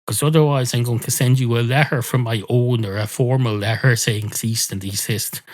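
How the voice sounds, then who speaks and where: A man's voice: medium pitch, fairly even intonation, normal speed, lightly acted. Talking cat played by a male storyteller: demanding, pedantic, foul-mouthed, curt and formal, for an improvised comic tale. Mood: haughty. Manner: curt, formal yet sweary.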